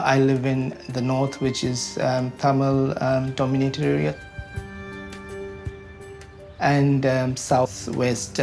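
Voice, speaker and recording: Sri Lankan man, interviewee, gravelly, flat delivery, halting with fillers, music bed under him. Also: mid-range voice. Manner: hesitant, many ums and uhs. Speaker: interviewee, community advocate. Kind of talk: recorded interview, reflective.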